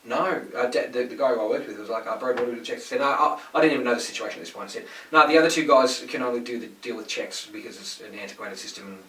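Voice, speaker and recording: A man's voice: mid-range voice, very fast, hardly any pauses, fairly bright tone, a little hiss or hum. A man hosting a podcast, chatting casually and telling stories with lots of swearing, acting out the people in them. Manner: swearing storyteller, acts out people in his stories. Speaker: podcast host. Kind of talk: casual chat. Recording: echoey room, mic at a distance.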